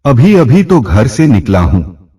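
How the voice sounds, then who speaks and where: A man's voice: medium pitch, big pitch swings, measured pace, mellow, slightly rough, recorded from a distance. A man reading the part of a middle-aged married man, flirtatious but cautious, with probing questions, in a story narration. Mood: affectionate.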